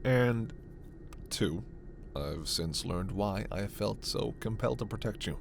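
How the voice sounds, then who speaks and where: A man playing a gentle, scholarly, protective alien doctor in a sci-fi ASMR roleplay, speaking in a measured, formal, precise way. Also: fairly low pitch, expressive, gravelly, noisy background, heavily acted. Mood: kindly, attentive.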